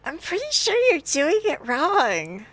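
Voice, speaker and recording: Woman playing animated, teasing teenage boy. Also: high-pitched, a little slow, heavily acted. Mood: dry teasing. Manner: casual, dry teasing comebacks. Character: teenage boy, goofy, teasing, deadpan best friend. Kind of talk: amateur fan fiction read-aloud, character dialogue.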